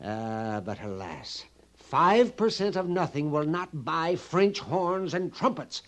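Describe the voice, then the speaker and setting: Slow, swooping, mournful frontier storekeeper. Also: male voice, a little high, very animated. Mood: mournful, theatrical. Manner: florid, lamenting, wordy and rambling. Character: mournful, theatrical, fussy sutler of a frontier army fort. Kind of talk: old-time radio western acting.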